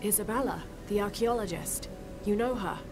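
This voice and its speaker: A woman playing a young, determined, thoughtful adventurer in naturalistic video game dialogue, speaking in a measured, inquisitive way. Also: over music, natural voice. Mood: gentle, inquiring. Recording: game ambience and rumble behind voice.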